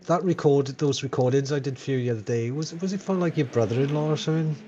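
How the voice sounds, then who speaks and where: A man's voice: medium pitch, quick pace, warm tone, muffled recording, slight background noise. A man co-commentating on a sim race in a casual, rambling, self-mocking way over an online call.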